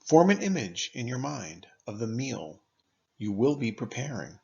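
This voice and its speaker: A man narrating a scripted guided meditation in a measured, instructive manner, pausing deliberately between phrases. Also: medium pitch, sing-song, gravelly, dark tone, dull audio.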